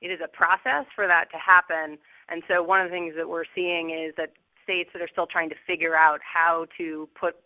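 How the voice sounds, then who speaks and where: Woman, company executive, measured explanatory answers, dry close sound, narrow phone-line audio. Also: deep voice, no room sound. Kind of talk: earnings call answers. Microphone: conference call line.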